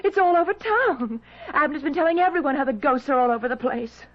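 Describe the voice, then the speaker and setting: An anxious, proper young bride-to-be, loyal and earnest. Her high voice swoops emotively in classic radio drama fashion. The vintage recording is dull, with faint noise. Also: lively intonation, a little fast. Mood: upset, indignant. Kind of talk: 1970s radio drama acting.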